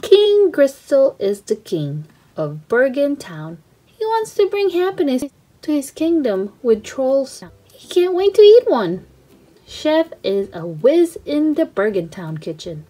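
Slow, lively woman reading a children's storybook. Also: sits high, rough recording. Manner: expressive, simple storytelling for young children. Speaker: read-aloud channel host. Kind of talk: children's storybook read-aloud.

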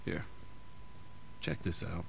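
A man's voice: slow, noisy background, lightly acted. An actor playing a protective young American man, a strict older brother surviving an apocalypse, casual but firm in a naturalistic game performance. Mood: confident. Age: young adult. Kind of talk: naturalistic video game acting.

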